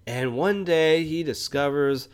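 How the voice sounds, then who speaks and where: A man's voice: on the high side, takes it slowly, smooth. A man co-hosting a casual film review podcast, speaking in an enthusiastic, opinionated way and often explaining things. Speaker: podcast co-host.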